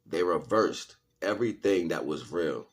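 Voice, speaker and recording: Very deep, husky-voiced man on a livestream. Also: expressive, unhurried. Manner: slangy, repeats phrases for emphasis. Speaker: livestream host and spiritual teacher. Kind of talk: casual livestream monologue, preaching to viewers.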